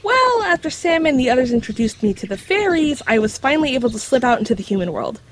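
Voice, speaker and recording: A woman's voice: high voice, very animated, fast talker. A woman voicing a knowledgeable magical creature in dog form, explaining things matter-of-factly with dry wit in an audio drama. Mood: reminiscing. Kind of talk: scripted audio drama acting.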